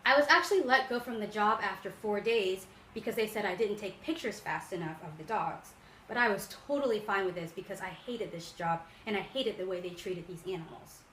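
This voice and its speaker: A young woman gives a student speech in an earnest, practiced delivery. Her voice sits at an average pitch. The room adds clear echo, and there is faint noise in the background. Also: a little fast, fairly bright tone. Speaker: student public speaker. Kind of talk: prepared persuasive speech.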